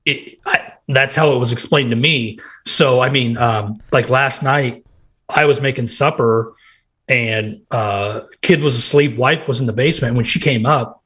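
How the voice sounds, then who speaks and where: A man in his thirties from Nebraska with a nasal, hoarse voice, chatting casually as a podcast guest and telling rambling stories. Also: medium pitch, a little slow, fairly bright tone. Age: 30s. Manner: rambling, quotes himself in bursts. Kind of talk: casual chat.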